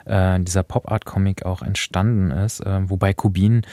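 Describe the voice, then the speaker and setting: A man hosts a radio talk in a very deep, mellow voice. He sounds reflective and conversational, feeling his way through sentences. The sound is close and dry. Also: little pitch movement, normal speed, dry recording. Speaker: host.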